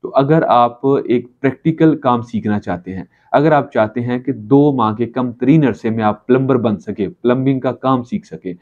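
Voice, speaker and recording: A man's voice: medium pitch, normal speed, few pauses, a little mellow. Pakistani man presenting, dull lo-fi sound. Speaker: college channel presenter. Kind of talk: promotional talk to camera. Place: small office, indoors. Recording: dull lo-fi camera audio.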